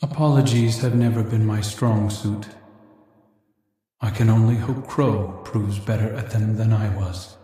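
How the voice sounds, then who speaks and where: An actor playing a world-weary, regretful nobleman in a video game, measured, candid and confiding. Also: lowish voice, fairly even intonation, dark tone, lightly acted. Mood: rueful, pensive. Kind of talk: reflective video game monologue. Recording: very echoey voice.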